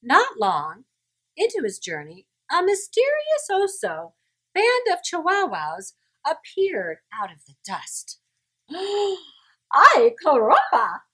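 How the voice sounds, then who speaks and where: Slow, very animated woman reading children's stories. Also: very high-pitched. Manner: playful, exaggerated, pausing to ask listeners questions. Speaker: children's storyteller. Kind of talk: animated read-aloud of a picture book to young children.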